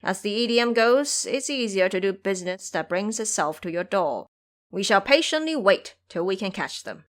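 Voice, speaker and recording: A woman's voice: mid-range voice, expressive, normal speed, lightly acted. Woman acting a menacing, gloating old monster king. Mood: commanding. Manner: commanding, gloating.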